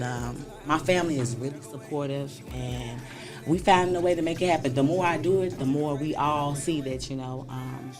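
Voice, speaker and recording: A woman in her thirties, a stand-up comic, telling her story in a relaxed, laughing way. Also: very deep voice. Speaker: guest comedian. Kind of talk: casual interview chat.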